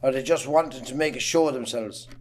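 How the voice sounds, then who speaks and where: A man playing a domineering farmer father in an amateur drama, with a rural Irish accent and a gruff, scolding manner. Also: medium pitch, fast talker, slight background noise, natural voice. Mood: indignant, scolding. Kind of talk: amateur radio-style stage drama acting.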